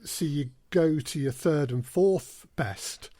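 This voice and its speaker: A man's voice: a little high, takes it slowly, mellow, no room sound. Man in dry, close sound. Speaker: podcast guest, audio drama producer. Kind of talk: conversational interview answers, anecdotes.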